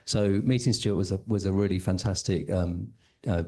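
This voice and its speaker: Low, mellow-voiced man on a panel. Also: moderate pace. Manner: steady, explanatory, speaks on a handheld mic. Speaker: university head of entrepreneurship. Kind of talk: panel answer on stage.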